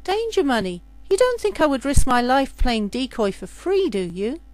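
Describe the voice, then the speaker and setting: A woman reader puts on a young servant girl for a storybook adventure. The voice sits high, with a pleading edge, and she is defiant and quick with her answers. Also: sing-song, no echo. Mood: cheeky.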